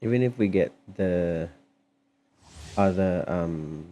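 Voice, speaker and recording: A man giving casual, low-key, hesitant livestream commentary while he plays a video game. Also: slow pace, dark tone, slight background noise. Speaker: game streamer. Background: game music.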